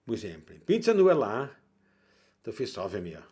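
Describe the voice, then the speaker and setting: A man's voice: lowish voice, wildly swooping pitch, a little fast, darkish tone. A man with a Viennese accent hosting his music livestream, reading out viewer comments in an easygoing, rambling way and chuckling between remarks. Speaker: livestream host and Wienerlied singer. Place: at home, performing alone.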